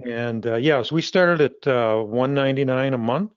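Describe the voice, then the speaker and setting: A man's voice: mid-range voice, clean tone, dry recording. A man who publishes a magazine, chatting in an interview in a middling voice. He trips over his words and restarts often, backing up to rephrase. The recording is dry and a little dull, with no room sound. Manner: halting, with frequent restarts. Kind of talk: casual interview conversation.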